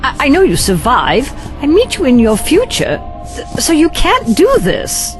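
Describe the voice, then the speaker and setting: A woman's voice: high voice, wildly swooping pitch, a little slow, soft background music, full character voice. A woman acting a time traveler's worried companion in an audio drama, pressing and questioning. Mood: pleading, worried. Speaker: actor.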